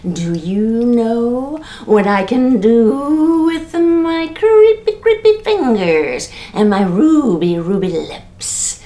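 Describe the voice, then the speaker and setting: A spooky, teasing ghost , put on by a woman stretches every word slowly and swoops up high and loud. The voice creeps along, then climbs in pitch for effect. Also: lively intonation. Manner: slow, drawn-out, rising spooky voice.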